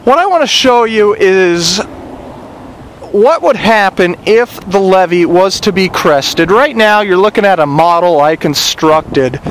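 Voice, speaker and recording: A man's voice: sits high, expressive, measured pace. A man giving a casual on-location report outdoors by a river, rambling with many "uh" fillers. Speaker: citizen reporter. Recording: outdoor recording with loud wind and river noise.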